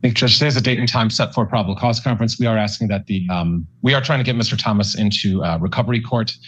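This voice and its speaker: Husky, low-pitched male attorney. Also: quick pace, a bit bright. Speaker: defense attorney. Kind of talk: spontaneous courtroom address. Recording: dull courtroom audio feed.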